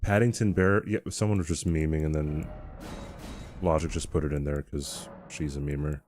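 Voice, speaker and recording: A man's voice: a bass voice, slight background noise. A young man streaming a game who chats casually with his audience, often chuckling and stopping to restart his sentences. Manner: chuckling, halting and relaxed.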